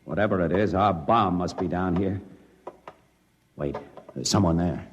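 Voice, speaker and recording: An American actor playing a brusque, callous military general in 1950s radio drama style, clipped, commanding and impatient. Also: male voice, sits low, unhurried, lo-fi sound. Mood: wary. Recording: noisy vintage radio drama recording.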